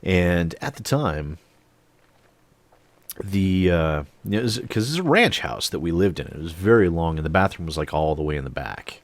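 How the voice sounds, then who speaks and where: Man, late 30s, low voice, animated storyteller, swearing, dry close sound. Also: normal speed, dry recording. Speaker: channel host. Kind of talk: storytime monologue.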